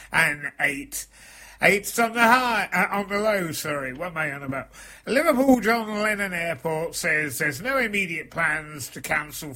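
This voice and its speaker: A man with a gravelly voice, presenting a radio show in a chatty, flustered, self-mocking way. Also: a little high, pushed and bright.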